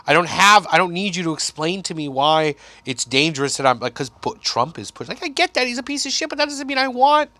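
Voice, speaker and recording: A man's voice: on the high side, brisk, hardly any pauses, dry recording. A man in his thirties from Michigan, a comedian guest chatting in a basement podcast, profane and sarcastic. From Michigan, USA. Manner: animated, profane, sarcastic riffing. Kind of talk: casual podcast chat.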